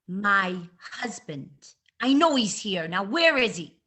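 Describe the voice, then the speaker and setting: An actress playing a furious, domineering wife, scolding and suspicious, in old-time radio style. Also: female voice, medium pitch, very animated, slow, sharp tone, exaggerated voice. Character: furious domineering wife hunting her drunken husband.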